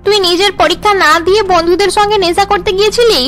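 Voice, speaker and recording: A woman voicing an angry, nagging mother in a melodramatic cartoon, shaming her son with sharp reproach. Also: extremely high pitch, fairly even intonation, quick pace, soft background music. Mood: scolding. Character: angry, scolding, status-obsessed mother of a failing son. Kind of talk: melodramatic Bengali cartoon dubbing.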